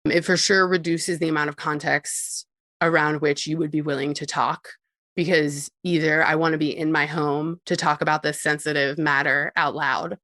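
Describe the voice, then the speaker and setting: A woman with a notably low voice talks as an interview guest. She explains things thoughtfully and conversationally, with casual fillers like "like". The sound is close and dry. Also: level tone, normal speed, bright, forceful tone, no echo. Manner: thoughtful, articulate, peppered with "like" and small chuckles. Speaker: interview guest, tech company CEO and co-founder.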